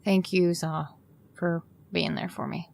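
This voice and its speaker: A woman's voice: lowish voice, level tone, a little slow, creaky, darkish tone. An actress playing a weary, frustrated young woman in an audio drama, talking in a casual, exasperated way. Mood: grateful, soft. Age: young adult. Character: weary, frustrated young woman, sarcastic with her best friend.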